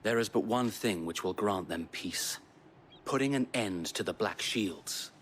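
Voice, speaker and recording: Brief: male actor as chivalrous resistance knight for a fantasy game, lowish voice, measured and courteous, some ambient noise. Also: close to an everyday voice. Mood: grim resolve. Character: loyal, chivalrous knight leading resistance fighters. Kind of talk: dramatic video game acting.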